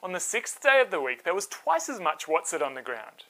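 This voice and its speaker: Kids bible story narrator, super animated, bright voice, tells it simple and chatty. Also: medium pitch, a little fast, poor audio. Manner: expressive, simple conversational retelling.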